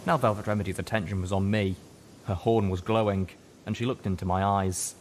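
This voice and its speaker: A man reading a scripted story aloud as an expressive audiobook narrator. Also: lowish voice, fairly quick, slight background noise.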